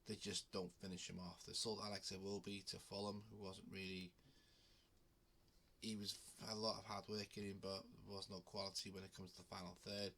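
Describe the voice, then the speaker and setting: A man with a head cold talks to his viewers in a low, flat, bright-edged voice. He rambles casually with plenty of ums and restarts. A faint background noise sits under the recording. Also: brisk. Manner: relaxed, with frequent ums and restarts. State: has a cold. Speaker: betting channel host. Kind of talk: casual talk to camera.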